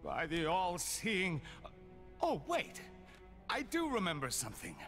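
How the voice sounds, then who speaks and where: Game sorcerer, slightly high, wildly animated, dramatic spellcaster delivery. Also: male voice, measured pace, soft background music, heavily acted. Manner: theatrical, urgent spellcasting delivery. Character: male sorcerer, earnest, forgetful mentor. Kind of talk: video game cutscene acting.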